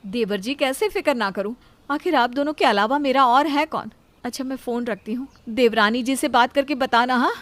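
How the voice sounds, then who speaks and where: A woman's voice: high-pitched, moderate pace, dry recording, pitched above the performer's own voice, natural voice. A woman voicing a motherly, anxious elder sister-in-law in an insistent, doting manner for a story.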